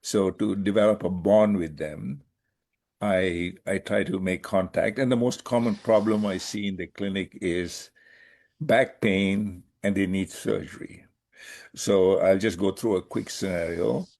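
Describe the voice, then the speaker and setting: A man, a doctor and student, presenting in an online class in a measured way, now and then clearing his throat. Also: deep voice, fairly even intonation, darkish tone. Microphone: video call.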